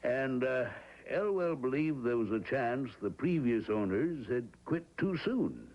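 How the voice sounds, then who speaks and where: A man's voice: mid-range voice, expressive, a little slow, lightly acted. An actor playing an uneasy man who explains a business deal with hesitant 'well's and 'uh's in an old-time radio drama. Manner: hesitant, full of 'well' and 'uh'. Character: uneasy small-town man, hesitant and evasive. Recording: dull lo-fi old radio recording.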